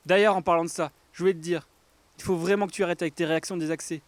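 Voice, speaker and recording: A man's voice: high voice, fairly even intonation, very fast, dry recording, lightly acted. A man playing an anxious, irritable young adventurer in an audio drama, speaking in a blunt, exasperated and urgent way. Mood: serious, reproachful. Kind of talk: audio fiction acting.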